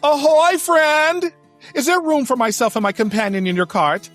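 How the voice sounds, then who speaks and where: A man's voice: very high-pitched, fairly even intonation, normal speed, a bit bright. A man playing a theatrical young adventurer in an audio drama, narrating a quest in florid, dramatic style. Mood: hopeful. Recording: music behind the voice.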